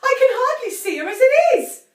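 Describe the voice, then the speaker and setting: A woman reading a story aloud and voicing a frantic, fussy middle-aged housewife with a shrill, wailing voice. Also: big pitch swings, slow, distant mic, heavily acted. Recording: echoey room, voice off-mic.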